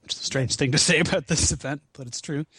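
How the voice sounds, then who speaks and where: A man's voice: on the high side, fast talker, sharp tone, slightly rough, no room sound. A man chatting casually as a podcast guest about video games, swearing freely with a wry, self-deprecating manner. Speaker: podcast guest, video game marathon founder.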